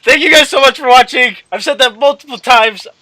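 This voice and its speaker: A man's voice: very high voice, a little fast, sharp tone, dry recording, rough recording. A young man hosting a gaming channel, chatting casually in a rambling, hesitant way that often repeats and trails off. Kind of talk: casual rambling video outro.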